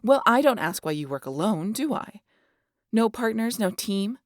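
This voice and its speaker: A woman playing a sardonic, guarded vampire in an intimate audio roleplay, with a gravelly, husky voice and a teasing, exasperated manner that slips into breathy whispers. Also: mid-range voice, lively intonation, moderate pace, no room sound, studio quality, lightly acted. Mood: exasperated, defensive. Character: sardonic, guarded female vampire hypnotizing an injured hunter.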